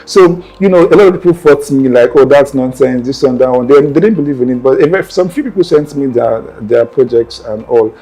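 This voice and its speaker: A man's voice: mid-range voice, a little fast, hardly any pauses, warm tone, slightly rough, rough recording. A young man with a Nigerian accent, telling his life story as an interview guest in an earnest, meandering way that often circles back to correct himself. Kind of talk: personal storytelling in conversation.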